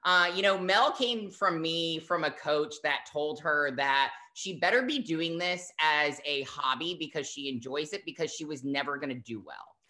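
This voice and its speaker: Bright-voiced, animated fitness coach. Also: medium pitch, normal speed, hardly any pauses. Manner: animated, uses lots of fillers like um and like. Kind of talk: casual interview chat.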